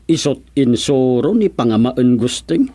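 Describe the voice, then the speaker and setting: A man's voice: mid-range voice, very animated, unhurried, mellow. A man playing a fed-up, grumbling villager in a radio comedy drama, drawing out his words.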